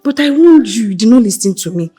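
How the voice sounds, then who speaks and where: An outspoken Nigerian handmaid, loyal to her princess. Her voice is husky and rises and falls freely, with emotive film-drama delivery. Soft music plays underneath. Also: on the high side, lively intonation, fast talker. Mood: reproachful. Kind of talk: emotive screen drama acting.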